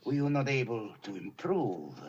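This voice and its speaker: A man's voice: mid-range voice, very animated, moderate pace, darkish tone, lo-fi sound, a little hiss or hum, close to an everyday voice. A man playing a fussy, polite detective who questions people with formal courtesy. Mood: polite, probing. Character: fussy, polite middle-aged male detective. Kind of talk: film dialogue.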